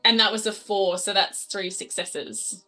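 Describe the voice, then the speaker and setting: A woman's voice: mid-range voice, steady tone, measured pace, bright, forceful tone, rough recording. A woman playing a tabletop role-playing game, improvising in character in a playful, sweary, casual way. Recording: music behind the voice.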